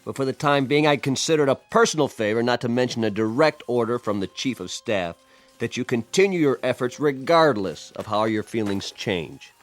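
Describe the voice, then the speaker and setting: Stern male colonel for an actor, radio drama: medium pitch, clipped and sardonic, used to giving orders. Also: animated, brisk, close to an everyday voice. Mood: sardonic, commanding.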